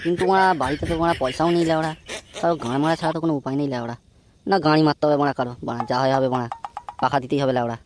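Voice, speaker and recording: A man's voice: fairly high pitch, steady tone, racing, background noise, close to an everyday voice. A man playing a brash, foul-mouthed, boastful young slacker in a comedy cartoon. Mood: worried. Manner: crude, swearing, blustering.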